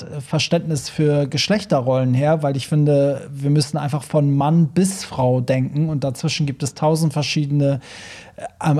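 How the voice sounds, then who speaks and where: Chatty male podcast host, softer mellow tone. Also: on the high side. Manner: chatty, informal. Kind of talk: casual podcast chat.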